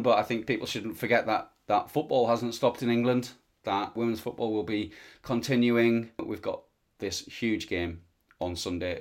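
A man's voice: sits low, gravelly. A man hosting a football interview, asking long questions with frequent hesitations and repeated words. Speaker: podcast host. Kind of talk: interview host. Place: lives in Wales.

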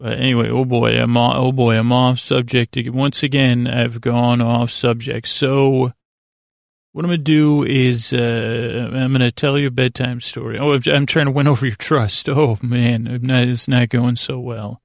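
Male bedtime podcast host: gravelly, even-toned, soothing, a hesitant and self-mocking rambler. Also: mid-range voice, no echo, studio quality. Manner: meandering, halting, self-deprecating, repeats himself; lulling, soothing delivery.

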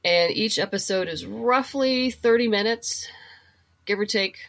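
A woman's voice: mid-range voice, measured pace, creaky, a bit bright. A middle-aged woman chatting and explaining things casually as a guest in an interview. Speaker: podcast guest, writer and producer.

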